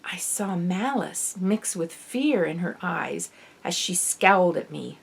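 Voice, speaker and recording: A woman's voice: on the low side, lively intonation, slow, vocal fry, slightly rough. Woman narrating a young readers' audiobook. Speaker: author reading own novel. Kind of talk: expressive scripted audiobook narration.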